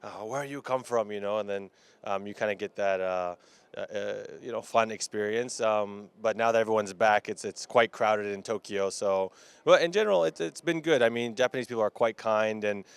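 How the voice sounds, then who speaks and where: A man from Florida with an American accent answering interview questions casually, with halting, hesitant phrasing. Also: on the low side, fairly even intonation, creaky, clean tone. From Florida, United States.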